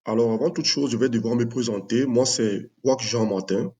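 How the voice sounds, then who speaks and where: A man from Cameroon, a math teacher presenting his own project in an unscripted video, speaking in a measured way with many fillers. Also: mid-range voice, dark tone.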